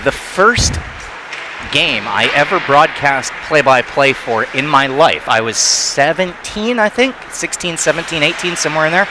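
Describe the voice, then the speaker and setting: Brief: male play-by-play hockey announcer, quick, noisy arena broadcast. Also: on the high side. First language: not Thai. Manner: rapid, excitable calls on big plays. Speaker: play-by-play commentator.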